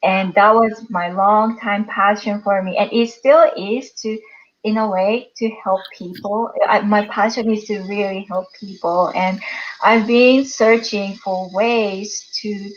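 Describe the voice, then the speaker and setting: A woman in her thirties, originally from Japan, speaks in a slow, unhurried way with frequent soft laughs between phrases. Her voice comes through slightly dull, lo-fi audio. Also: medium pitch, fairly even intonation. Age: 30s. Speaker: guest. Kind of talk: interview answers.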